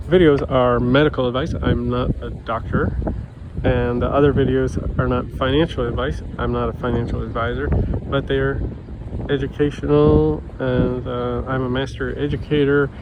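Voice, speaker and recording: A man's voice: medium pitch, muffled recording, very noisy. A man vlogging in a rambling, casual way with many fillers and restarts, sounding stuffy and sniffling. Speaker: vlogger, educator. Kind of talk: casual monologue to camera.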